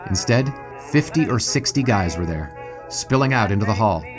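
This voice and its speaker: A man playing a dry-witted, younger 1920s sportswriter in an audio drama, measured and wry. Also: on the low side, animated, over music, close to an everyday voice. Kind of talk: audio drama acting with first-person narration.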